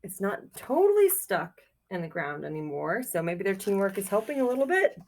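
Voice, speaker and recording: A woman's voice: medium pitch, sing-song, a little fast, darkish tone, a little hiss or hum. A woman doing a children's read-aloud like a teacher, reading expressively in a sing-song way and putting simple, encouraging questions to her young listeners.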